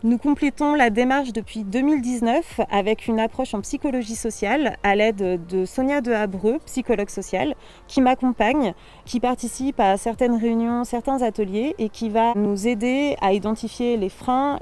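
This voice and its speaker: Higher-pitched Frenchwoman, slightly distant, explaining steadily. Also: normal speed, dark tone. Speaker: local water and agriculture programme coordinator. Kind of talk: prepared testimonial narration.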